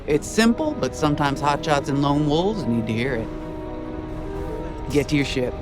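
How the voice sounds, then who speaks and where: An actor playing a steady, plain-spoken veteran rebel pilot in a video game, giving firm advice over music. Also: male voice, a little high, moderate pace, lightly acted. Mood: firm, advising. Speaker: squadron pilot. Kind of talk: cinematic video game dialogue.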